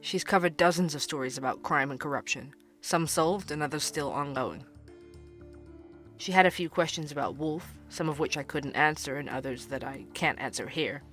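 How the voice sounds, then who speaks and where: An actor playing a young barista who hosts a podcast, narrating in an earnest, wry way. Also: male voice, fairly high pitch, moderate pace, fairly bright tone, soft background music, lightly acted.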